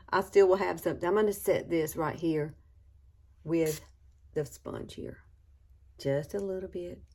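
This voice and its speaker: A woman, chatting casually through a makeup tutorial and calling viewers girl and y'all. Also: on the low side. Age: 60s. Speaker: beauty vlogger.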